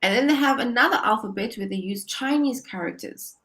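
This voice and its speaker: A woman's voice: a little high, fairly quick, fairly bright tone. A woman tutoring English over a video call, upbeat and encouraging, often laughing as she explains. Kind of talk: casual conversation lesson.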